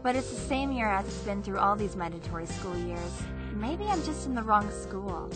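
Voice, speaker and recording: A woman's voice: medium pitch, expressive, moderate pace, loud music behind the voice, lightly acted. A woman voicing a blunt, haughty, easily bored teenage schoolgirl in an anime fan dub, speaking curtly and emphatically. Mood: dismissive, irritated. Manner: curt, brusque, emphatic.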